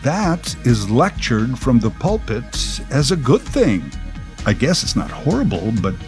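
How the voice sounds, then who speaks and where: A man's voice: medium pitch, big pitch swings, measured pace, darkish tone, faint music behind. A middle-aged man, giving a sardonic, opinionated podcast monologue. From Canada. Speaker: podcast host.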